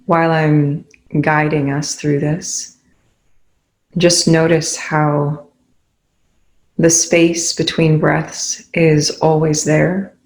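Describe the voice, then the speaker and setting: Slow, gravelly meditation guide. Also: medium pitch, low-quality recording. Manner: calm, instructive, with long pauses between phrases.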